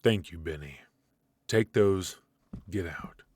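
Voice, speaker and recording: A man playing a suave, menacing mafia boss in a noir ASMR roleplay, measured and mock-courteous, with a husky voice. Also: deep voice, darkish tone, a little hiss or hum. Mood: curt, commanding. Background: faint music.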